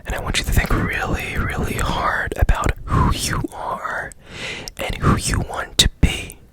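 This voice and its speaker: A man playing a strange, secretive, obsessive repairman in a hushed, deliberate, whispered ASMR roleplay with long pauses. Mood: intense, secretive.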